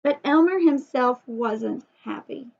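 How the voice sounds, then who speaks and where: High-voiced woman teaching slowly to young children. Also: vocal fry. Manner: animated teacher-to-child talk with frequent questions and emphasised key words. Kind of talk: children's story time lesson. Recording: dull lo-fi sound.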